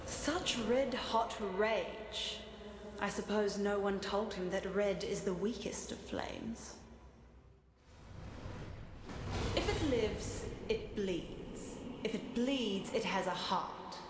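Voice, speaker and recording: A woman's voice: medium pitch, takes it slowly, lightly acted. A woman playing an arrogant, vengeful fire goddess in a video game, speaking in a haughty, sardonic, theatrical way. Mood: menacing. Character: vengeful, arrogant fire goddess bound in a sword, mocking and bloodthirsty. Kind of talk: scripted video game character voice lines. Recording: roomy echo, clear background static noise.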